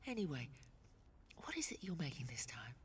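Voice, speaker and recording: An actress playing a frail, tender but feisty elderly British mother in a video game, with a breathy voice. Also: extremely low pitch, very animated, moderate pace, a little hiss or hum. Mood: fond, feisty. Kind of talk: video game cutscene drama.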